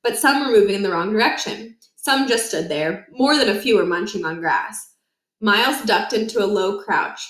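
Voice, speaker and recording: Woman storybook reader, mid-pitched, expressive children's narration, noticeably roomy sound. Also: normal speed. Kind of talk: children's read-aloud narration. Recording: roomy, echoing indoor recording.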